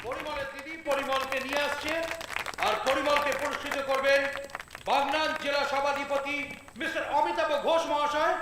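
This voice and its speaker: A contest announcer on stage, high voice, formal, working the crowd, super echoey. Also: male voice, level tone, normal speed. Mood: formal, rousing. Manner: formal, addresses and rouses the crowd. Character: spectacled male announcer at a small-town festival contest. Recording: echoey public-address sound, crowd, faint noise.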